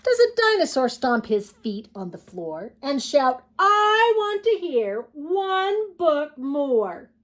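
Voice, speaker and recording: Slow, sing-song woman reading to young children. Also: sits high, very animated. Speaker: children's storytime host. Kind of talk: reading picture books aloud to young children.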